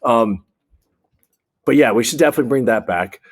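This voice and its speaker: A man's voice: medium pitch, moderate pace, husky. An American man speaking candidly as an interview guest, an air traffic controller explaining things bluntly and emphatically with examples and jargon.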